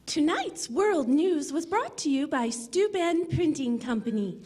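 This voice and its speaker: A woman's voice: high-pitched, very animated, unhurried, darkish tone. A woman playing an earnest 1940s radio announcer, reading scripted sponsor messages.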